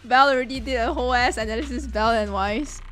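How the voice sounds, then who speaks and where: A woman live-reacting to a game story, expressive, emotionally invested and slangy, sometimes reading narration aloud. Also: fairly high pitch, fairly quick, faint music behind.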